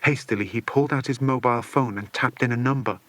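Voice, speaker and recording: A man narrating a children's adventure audiobook in an expressive scripted reading. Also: medium pitch, quick pace, no echo.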